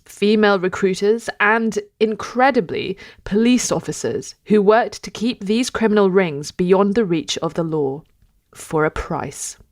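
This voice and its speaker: A woman host reading an earnest, measured introduction and then asking her guest a question. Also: on the low side, dry recording, clean studio sound. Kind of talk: scripted introduction and interview questions.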